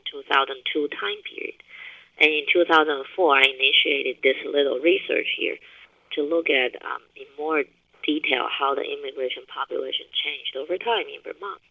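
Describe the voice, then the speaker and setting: Middle-aged Taiwanese-accented researcher, gravelly and bright, dry close mic, stop-start delivery. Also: male voice, a little high, dry recording, rough recording. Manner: halting, frequent fillers and restarts. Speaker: university researcher and business teacher. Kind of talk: recorded research talk.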